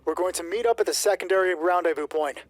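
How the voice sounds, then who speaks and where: A man's voice: high voice, fast talker, no room sound, close to an everyday voice. A man voicing a callous squad commander in a tabletop roleplay, giving terse orders. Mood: cold command.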